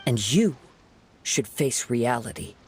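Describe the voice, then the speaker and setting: A man playing a calm, perceptive young wandering samurai in a video game, measured, earnest and quietly reproving. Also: medium pitch, slight background noise. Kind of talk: dramatic video game dialogue.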